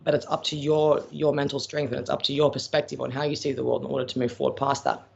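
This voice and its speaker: Gravelly Australian man, blunt and chatty. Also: medium pitch, flat delivery, fast talker. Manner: blunt, chatty, calls viewers pet names. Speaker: psychic medium. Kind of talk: live-stream reading of viewer questions. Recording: dull lo-fi stream audio.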